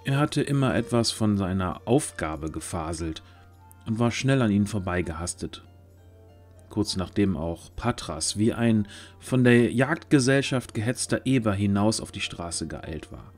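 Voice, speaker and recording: A male narrator with a fairly low voice tells a scripted fantasy story. Faint music plays behind his reading. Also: expressive, measured pace, a little mellow.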